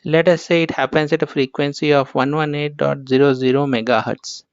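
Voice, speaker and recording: A man's voice: fairly high pitch, fairly even intonation, brisk, no echo, lo-fi sound. A man with an Indian accent gives an informal tutorial as an instructor, explaining things step by step and often repeating himself.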